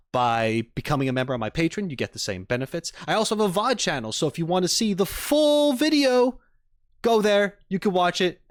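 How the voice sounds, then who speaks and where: A man hosting a livestream, chatting casually and playfully with viewers, rambling and often swinging up into high-pitched emphasis. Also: dry recording, studio quality. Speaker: streamer and reaction video host.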